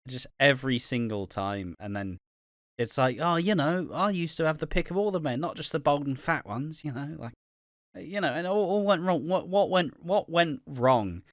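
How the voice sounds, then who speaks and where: A man's voice: fairly high pitch, expressive, normal speed, no room sound, clean studio sound. A man co-hosting a casual podcast about a TV soap, swearing freely and acting out characters' lines. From United Kingdom. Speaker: podcast co-host.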